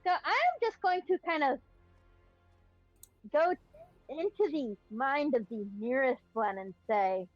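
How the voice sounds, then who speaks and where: A woman's voice: high voice, expressive, takes it slowly, long pauses, no room sound. A woman chatting casually as a tabletop game player, thinking aloud hesitantly, on slightly dull call audio. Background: background music.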